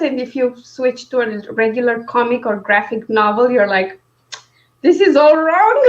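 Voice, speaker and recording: A woman's voice: a little high, a little hiss or hum. A woman chatting casually about books as a stream co-host, informal and hesitant, with a wry, self-deprecating manner. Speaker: co-host of a book discussion stream. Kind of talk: casual unscripted book chat. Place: indoors on a live video stream. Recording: dull lo-fi online call audio.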